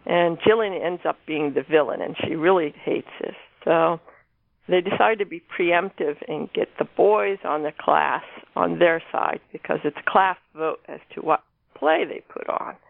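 Woman author chatting in an interview over a phone line, low pitched for a woman, some creak. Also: measured pace, no echo. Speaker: guest author discussing own novel. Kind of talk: casual interview talk.

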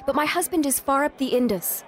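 A resolute young noblewoman of an audio drama. Her voice sits a little high. She speaks formally, like someone highborn who will not be turned from her course. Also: lively intonation, a little fast. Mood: firm, insistent. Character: headstrong young noblewoman traveling to find her husband. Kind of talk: full-cast audio drama acting.

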